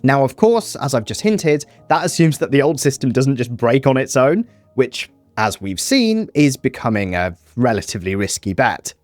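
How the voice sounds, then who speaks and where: A man's voice: medium pitch, very animated, moderate pace, no echo, soft background music. A man presenting a scripted explainer with casual, conversational asides.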